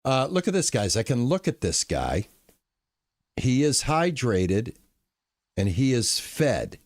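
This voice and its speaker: A man streaming a farming game live, chatting to his viewers in a relaxed, lulling way. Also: mid-range voice, slow, no echo, studio quality. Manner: relaxed, chatty, talks to chat. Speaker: gaming streamer. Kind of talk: live gameplay commentary.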